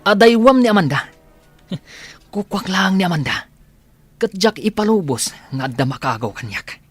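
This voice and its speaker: A man's voice: sits high, expressive, normal speed, husky, dry recording, soft background music. A man voicing a boastful, spoiled rich young man who laughs a lot, in an Ilocano radio drama. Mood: cocky. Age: young adult. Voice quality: cocky, laughs often. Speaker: college-age son.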